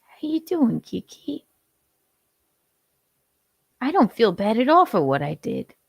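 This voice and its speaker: A gleeful, menacing hawk villain in his forties, put on by a woman, bragging without a trace of regret. The voice is gravelly and swoops up and down. It sounds close and dry. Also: a little high, very animated, takes it slowly, no room sound, pitched above the performer's own voice, exaggerated voice. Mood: unrepentant. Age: 40s. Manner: gleeful, unrepentant, menacing boasts. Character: violent male hawk who hates bald men.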